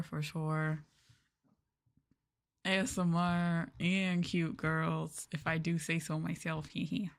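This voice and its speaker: A woman's voice: low voice, vocal fry. Giggly, rambling woman streamer. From United States. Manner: rambling, filler-heavy with lots of "like"; giggly and playful. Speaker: VTuber streamer. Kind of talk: casual chat stream.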